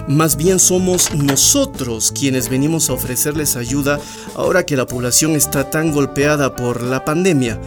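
A man's voice: mid-range voice, a little slow, music in the background, lightly acted. A man playing an ingratiating, corrupt mining company salesman in a radio drama, unctuous and emphatic. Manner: unctuous, emphatic, repeats words for effect.

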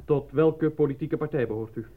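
Man acting an officious, higher-voiced interrogator. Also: natural voice. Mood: businesslike. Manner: measured, officious, solemn. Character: formal, controlling male official interrogating a candidate. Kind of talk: radio play acting. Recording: muffled lo-fi old radio recording.

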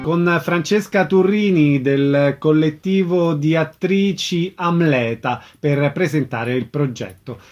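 A man's voice: fairly high pitch, takes it slowly, few pauses, soft background music. A man hosting a radio interview, recapping and putting questions to guests.

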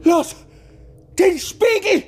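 A woman's voice: extremely high pitch, very slow, a little mellow, a little hiss or hum, heavily acted. A woman playing an obsessive, domineering wife in a radio drama, insistent and repetitive in her commanding manner. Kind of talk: theatrical German-language radio drama.

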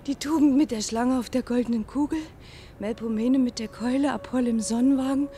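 A woman's voice: a little high, fairly even intonation, fairly quick, slight background noise, lightly acted. An actress in a radio play playing an overwrought, dreamy woman who talks to statues in a dramatic monologue. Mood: hushed reverie. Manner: dramatic, swinging from breathy whispers to shouts.

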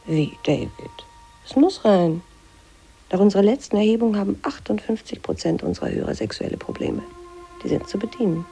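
Actress as dry, blunt colleague in radio drama: mellow, creaky, deadpan. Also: female voice, mid-range voice, a little fast, soft background music, close to an everyday voice. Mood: dry, sceptical. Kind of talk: intimate radio play dialogue.

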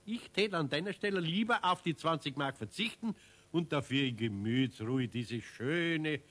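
A man's voice: on the high side, animated, moderate pace, a bit bright. An actor playing a good-natured, grumbling middle-aged master craftsman with a Bavarian accent in a children's radio play. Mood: sceptical, coaxing.

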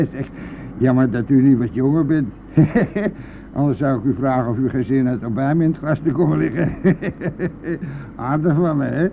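A man's voice: mid-range voice, a little slow, vocal fry. An actor playing a chatty, coarse, self-pitying, hard-drinking bus driver who needles his passenger in a colloquial radio-drama style. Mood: leering, joking. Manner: garrulous, jovial, needling, colloquial. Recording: tinny, band-limited, noisy old radio-play recording.

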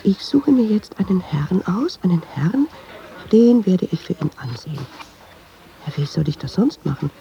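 Watchful nervous woman, dark creaky voice, musing, half-whispered, slightly dull radio drama recording. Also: fairly low pitch, very animated, normal speed, slight background noise. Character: watchful, nervous woman narrating her inner thoughts. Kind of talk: intimate radio drama monologue.

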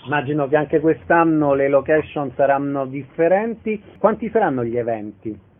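A man's voice: a little high, normal speed, narrow, tinny audio. A man asking questions as an interviewer in a radio interview.

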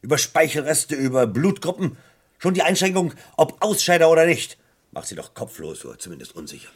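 A man playing a disillusioned, bitter police detective in a crime radio play, speaking emphatically in a husky voice. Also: on the high side, very animated, fairly quick. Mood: frustrated. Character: embittered, disillusioned English police forensic detective. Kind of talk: German crime radio play, recounting a case.

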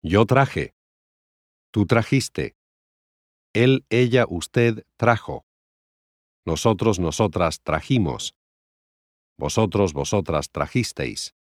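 A man with a lowish voice recites language course drills very slowly. He enunciates each phrase carefully and separately, in a dry, close studio sound. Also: animated, no echo. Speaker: language course voice reading Spanish examples. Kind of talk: scripted language lesson drill, reciting conjugations.

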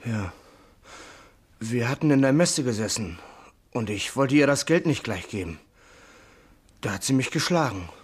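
Casting: haunted young sailor, husky, very slow and halting, heavy with guilt, vintage radio drama. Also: male voice, medium pitch, expressive. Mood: ashamed, anguished. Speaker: actor in a radio crime play. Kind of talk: 1950s German radio play acting.

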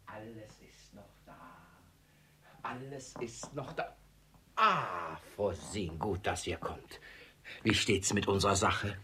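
An actor playing a suspicious, irascible, vain old miser of sixty in a radio play, stammering and repeating himself. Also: male voice, lowish voice, animated, slow pace, sharp tone, exaggerated voice. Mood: flattered, doubtful. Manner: stammering, repeating words, easily outraged. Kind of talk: German radio play stage acting.

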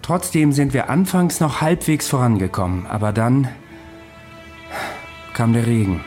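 An actor playing a world-weary, sceptical military adviser, speaking in a blunt, sober way in a radio drama. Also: male voice, mid-range voice, unhurried, a little mellow, faint music behind, natural voice. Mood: sober recounting. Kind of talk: radio drama acting and narration.

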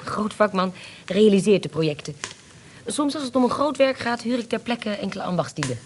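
An actress playing a cunning, self-assured female swindler in a Dutch radio play, poised and smooth-talking. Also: medium pitch, sing-song, a little fast, a little hiss or hum, lightly acted. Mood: poised, courteous. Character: cunning, self-assured female con artist posing as decorator.

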